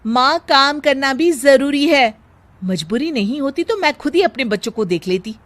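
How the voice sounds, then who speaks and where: Woman acting a harried young working daughter-in-law, high-voiced. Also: moderate pace. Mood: exasperated, complaining. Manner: exasperated, expressive, emphatic.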